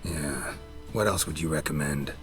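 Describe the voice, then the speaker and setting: An actor playing a gruff, world-weary monster hunter in a video game, terse and deadpan with a gravelly voice. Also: male voice, a bass voice, normal speed, soft background music. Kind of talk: video game character acting.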